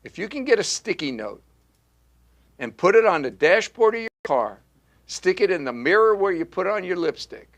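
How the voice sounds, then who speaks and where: A man's voice: high-pitched, big pitch swings, moderate pace, a bit bright. Man coaching an acting class, emphatic. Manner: emphatic, repetitive, punchy call-and-response teaching. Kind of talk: teaching a live acting class.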